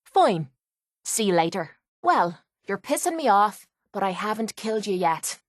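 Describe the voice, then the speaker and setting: A woman's voice: medium pitch, sing-song, a little slow, no echo, studio quality. A woman playing a brash, foul-mouthed young female cage fighter in a video game, with an Irish accent and a blunt, cocky way of talking. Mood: hostile, fed up. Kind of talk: video game companion voice lines.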